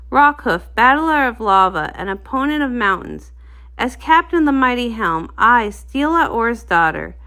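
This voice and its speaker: Commanding female pony captain for a storybook, acted by a woman, stern, ceremonious, fairly high-pitched. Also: bright, forceful tone, full character voice. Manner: formal, ceremonious.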